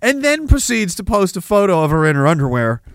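A man's voice: high voice, big pitch swings, brisk, clean tone, no room sound, clean studio sound. A man hosting a livestream, reading chat comments and riffing on them sarcastically, often breaking into laughter. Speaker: livestream host.

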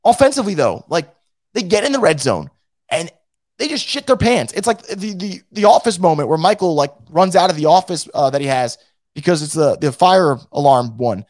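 A hoarse man who is losing his voice hosts a casual fan show and reads out the live chat, blunt and profane, with many restarts. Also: on the high side, expressive, moderate pace, darkish tone, no echo, clean studio sound. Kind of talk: casual post-game rant.

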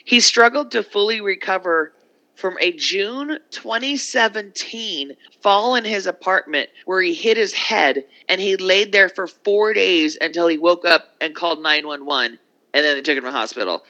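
Profane man in his forties, bright voice. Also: high-pitched, moderate pace. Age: 40s. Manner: loose, profane storytelling. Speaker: podcast co-host. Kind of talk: casual chat. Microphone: video call. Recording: dull lo-fi call audio.

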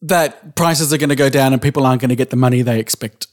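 A man's voice: medium pitch, fast. A man with an Australian accent co-hosting a podcast, arguing his points insistently and swearing casually. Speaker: podcast co-host.

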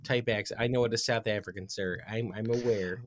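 A man's voice: on the low side, steady tone, brisk. Rambling casual man co-hosting. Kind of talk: casual podcast chat.

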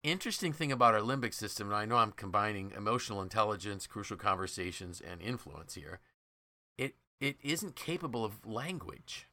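A man's voice: on the low side, expressive, brisk, bright, forceful tone, no room sound. A man hosting a podcast, explaining ideas from a book in a conversational, emphatic way. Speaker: podcast host.

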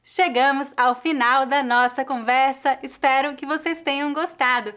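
Encouraging woman teacher hosting with higher voice. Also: steady tone, moderate pace, a bit bright. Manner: friendly and encouraging, with frequent "né?" tags. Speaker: language teacher and channel host. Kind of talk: interviewing and closing a video.

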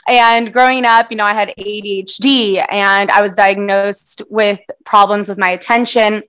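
A woman's voice: mid-range voice, steady tone, moderate pace, fairly bright tone, clean tone, low-quality recording. A young American woman, a native Portuguese speaker from San Diego, giving reflective, conversational answers as an interview guest. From San Diego, United States. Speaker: interview guest, musician and publicist.

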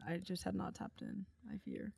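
A woman's voice: mid-range voice, very animated, normal speed, gravelly, mellow, slightly rough, no room sound. A young woman co-hosting a casual podcast chat, bubbly and slangy, laughing often. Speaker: podcast co-host.

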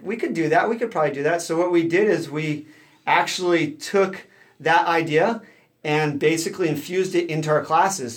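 A man from Southern California, a professor, answers interview questions at an even pace. His voice sits a little high, and he explains things steadily, with plenty of ums and uhs. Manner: steady and explanatory, with frequent fillers. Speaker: guest, associate professor.

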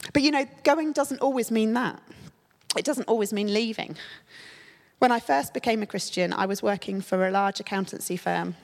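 A woman church leader preaching a sermon, her voice a little croaky from a cold, talking conversationally with plenty of fillers. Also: mid-range voice. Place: church hall. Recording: long hall reverb.

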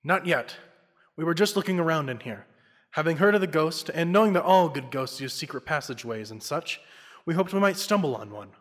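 A young man playing a stern, invisible crime-fighting investigator in classic radio drama style, measured, formal and ominous. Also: fairly high pitch, lively intonation, no room sound, clean studio sound, natural voice. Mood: composed, resolute. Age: young adult.

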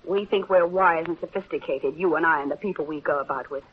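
An actress playing a guilt-torn, softening newspaperwoman in a 1930s radio drama, on a muffled vintage recording. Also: sits low, fast talker, a little hiss or hum, lightly acted. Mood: troubled, earnest. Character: conflicted newspaperwoman, guilty and softening, secretly fond.

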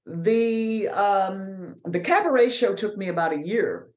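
A woman with a deeper voice, an author and actor talking frankly and with energy as an interview guest. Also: slow, roomy sound. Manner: frank, lively storyteller. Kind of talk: casual interview conversation.

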